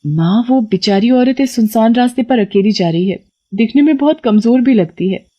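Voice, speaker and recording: A woman's voice: fairly high pitch, lively intonation, normal speed, dark tone, voice pushed up. A woman voicing a kind-hearted, chatty college-age daughter in a storybook tale, speaking eagerly and pleadingly. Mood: concerned, pleading.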